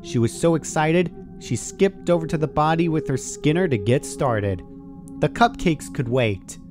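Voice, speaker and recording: A man's voice: on the high side, lively intonation, a little slow, over music. A man reading scripted horror fan fiction aloud as the narrator.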